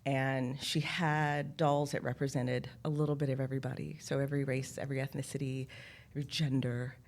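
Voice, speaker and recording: A woman's voice: extremely low pitch, level tone, fairly quick, a little mellow. An American woman speaking as an interview guest, telling personal stories casually and acting out bits of dialogue. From San Jose, California, United States. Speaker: interview guest, corporate executive.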